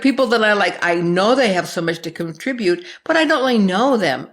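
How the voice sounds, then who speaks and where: A woman's voice: fairly low pitch, fairly bright tone. A woman hosting a podcast in a conversational interview style, speaking with an effusive, emphatic and affectionate manner. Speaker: podcast host.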